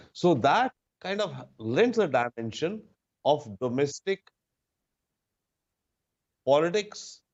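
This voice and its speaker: A man with an Indian accent giving analytical panel commentary in a deliberate, emphatic way over lo-fi remote audio. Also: on the high side.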